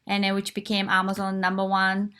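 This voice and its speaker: A woman's voice: mid-range voice, completely flat delivery, brisk, a bit bright, clear, resonant tone. A woman, from Japan, frankly telling her life story as a guest in a live online interview, with fillers and restarts. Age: 40s.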